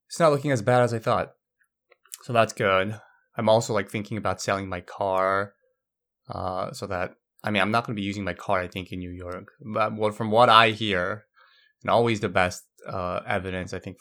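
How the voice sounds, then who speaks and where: A man's voice: fairly low pitch, fairly bright tone. A young man from Brazil hosting a solo podcast, rambling casually with many fillers and restarts. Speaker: podcast host.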